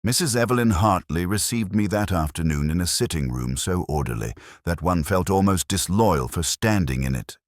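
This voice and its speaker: A middle-aged man narrating a first-person detective story in a measured, brooding, literary manner. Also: low-pitched, expressive, no room sound, studio quality.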